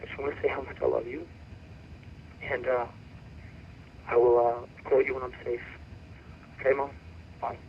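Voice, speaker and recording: A man in his thirties leaving a halting, hesitant personal message on an answering machine. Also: mid-range voice, little pitch movement, vocal fry. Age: 30s. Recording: dull answering-machine phone recording, faint noise.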